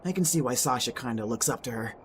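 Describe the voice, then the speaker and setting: Animated man playing an excitable young guy, noisy audio. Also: medium pitch, fast talker, natural voice. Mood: candid. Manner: casual, slangy, animated. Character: friendly, excitable young man loyal to his friends. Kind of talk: amateur audio drama acting.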